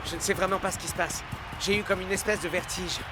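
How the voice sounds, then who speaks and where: Loud music plays behind a high-pitched male voice, an actor as a young cartoon footballer. He sounds earnest and puzzled, hesitant in how he puts things. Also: steady tone, quick pace, close to an everyday voice, rough recording. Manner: hesitant, uncertain. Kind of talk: animated cartoon dub acting.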